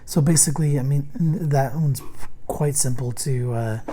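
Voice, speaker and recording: Hoarse man rambling through a card review. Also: medium pitch, dark tone, a little hiss or hum. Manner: rambling, halting, with many ums and restarts. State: hoarse, losing his voice. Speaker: host reviewing a card game deck. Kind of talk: casual unboxing and card review.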